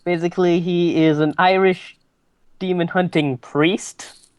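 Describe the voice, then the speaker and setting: A man's voice: high voice, steady tone, measured pace, clear, resonant tone, dry recording. A man hesitantly and casually describing his character as a player in a tabletop game.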